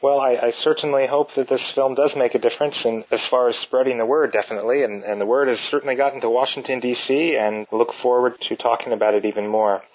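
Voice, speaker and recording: A man interviewing a guest on the radio, asking his questions in a polite, measured way. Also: mid-range voice, steady tone. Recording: thin phone-like sound.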